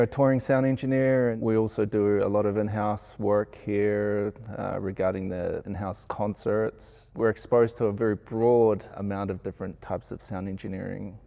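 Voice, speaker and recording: A man with a New Zealand accent reflecting in an interview in an earnest, measured way. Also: fairly low pitch, vocal fry, warm tone. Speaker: sound engineer being interviewed.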